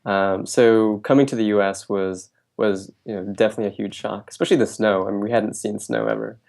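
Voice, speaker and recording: A young man raised in New Jersey, a guest answering questions in a relaxed way, with frequent fillers and restarts. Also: fairly low pitch, fairly quick, vocal fry. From Bangladesh, raised in New Jersey. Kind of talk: casual interview.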